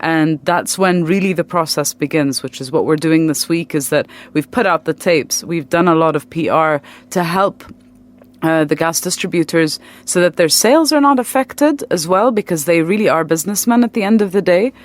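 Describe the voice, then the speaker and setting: A woman talking as an artist guest in a radio interview, explaining in a conversational way and pausing to find words. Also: on the low side.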